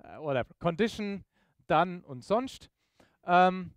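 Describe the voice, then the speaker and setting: High-pitched man presenting. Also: lively intonation, a little slow, no room sound, clean studio sound. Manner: informal, explanatory, checks in with questions. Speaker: software presenter. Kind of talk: live technical demo to an audience. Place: meetup presentation room, on stage.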